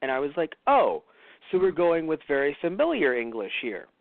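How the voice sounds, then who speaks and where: Male review co-host from New York, slightly high voice, very dry close sound, rambling and jokingly whiny. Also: huge pitch swings, moderate pace, creaky, no room sound, phone-quality sound. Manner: rambling, jokingly whiny, acts out mock quotes. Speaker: radio show co-host reviewing films. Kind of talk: casual comic banter and film review.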